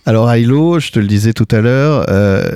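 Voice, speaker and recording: A man's voice: mid-range voice, normal speed, mellow, clear, resonant tone, no echo, clean studio sound. A male radio host running a morning interview in a friendly way, with many fillers. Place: radio studio.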